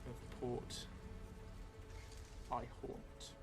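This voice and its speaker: Middle-aged male solo game narrator, slow and reflective, piecing the story together aloud, music underneath. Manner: thoughtful, halting, thinking aloud in short phrases. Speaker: solo role-playing streamer. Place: indoor room set up for streaming, seated at a table.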